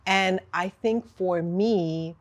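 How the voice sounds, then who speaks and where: A woman on a discussion panel, speaking in measured, deliberate phrases with slow emphasis. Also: on the low side. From first-generation business owner.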